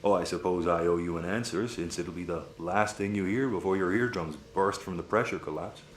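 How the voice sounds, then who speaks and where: An actor playing a smug, theatrical crime boss in a sci-fi audio comedy, mock-polite and sardonic. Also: male voice, deep voice, fairly quick, natural voice. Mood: smug explaining. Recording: echoey room, faint background noise.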